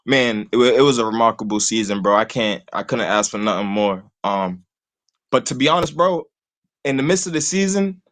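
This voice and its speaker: A man's voice: mid-range voice, animated, normal speed, a bit bright. A man, talking sports casually and rambling, leaning on "you know what I'm saying" all the time. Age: 20s. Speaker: call-in guest host.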